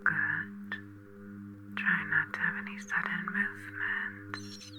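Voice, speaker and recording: A woman playing a meticulous, prim sci-fi office agent in an ASMR roleplay, whispering breathily in an unhurried, hesitant, officious but polite manner. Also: sits low, lively intonation, over loud music. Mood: calm, methodical. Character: slow, meticulous, prim female time-travel office agent.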